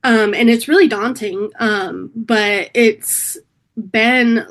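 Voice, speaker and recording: A middle-aged woman from California, slightly hoarse from a cold, talking reflectively in a casual interview, with many pauses and restarts. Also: on the high side, fairly even intonation. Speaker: interview guest, political staffer.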